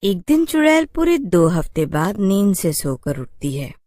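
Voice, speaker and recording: Slow-paced woman narrating a story. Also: mid-range voice, sing-song, dark tone, no room sound, clean studio sound.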